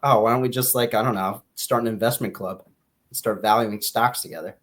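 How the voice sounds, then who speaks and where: A man's voice: on the low side, fairly quick, fairly bright tone. A man with an American accent co-hosting a podcast, talking informally with a friend. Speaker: podcast co-host. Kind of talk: casual banter between friends.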